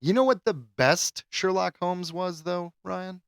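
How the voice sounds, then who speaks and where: A man's voice: high voice, a little slow, creaky, smooth, no room sound, studio quality. A man co-hosting a podcast, commenting in casual, conversational chat. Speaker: podcast co-host.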